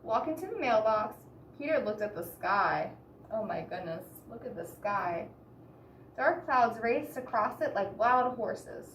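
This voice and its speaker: Higher-voiced woman reading a storybook, roomy echo. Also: lively intonation, unhurried. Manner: asks the listeners questions and explains gently. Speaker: children's story reader. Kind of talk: expressive picture-book read-aloud with asides to young listeners. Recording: roomy echo, distant mic, background hiss.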